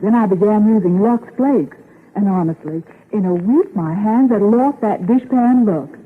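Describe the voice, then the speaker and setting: An actress playing a reassuring married friend giving advice in a 1930s radio commercial, on a thin old recording. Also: female voice, medium pitch, normal speed, breathy edge, narrow, tinny audio, natural voice.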